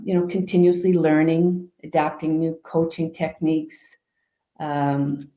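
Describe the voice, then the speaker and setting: A woman speaks in a low, mellow voice, calm and soft-spoken, often pausing on fillers. Her voice carries a noticeable echo from the room around her. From Canada. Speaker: guest life coach. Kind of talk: interview answers.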